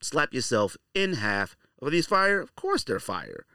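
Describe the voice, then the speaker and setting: A man's voice: medium pitch, big pitch swings, a little slow, pushed and bright, dry recording, studio quality. A man hosting a casual, off-the-cuff podcast monologue, talking in a slangy, blunt, conversational way. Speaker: sneaker podcast host.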